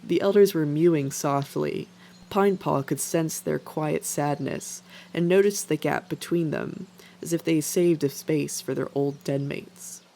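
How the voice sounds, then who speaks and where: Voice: medium pitch, a little slow, gravelly, dark tone. A narrator reading scripted fiction as the storyteller of an animal tale. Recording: faint background noise.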